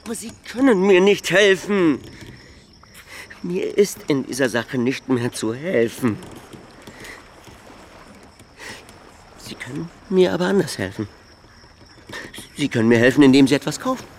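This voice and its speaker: An actor playing a grieving, guilt-ridden river trader in a radio play, plaintive, halting and insistently pleading. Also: male voice, on the high side, lively intonation, slight background noise. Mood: sorrowful, resigned. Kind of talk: German radio play acting.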